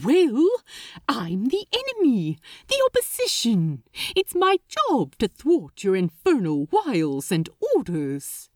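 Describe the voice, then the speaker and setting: A woman voicing a gentle, earnest male angel who is anxious to help, imploring as in a storybook reading. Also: on the high side, huge pitch swings, slow, no echo, clean studio sound, heavily acted. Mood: excited, persuasive.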